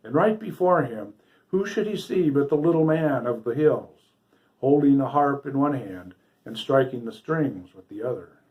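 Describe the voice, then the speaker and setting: A man with a mid-pitched voice reads a fairy tale aloud. His storytelling is scripted and dramatic, with phrases set out deliberately for listeners of a story hour. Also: animated, dull audio. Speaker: storybook reader.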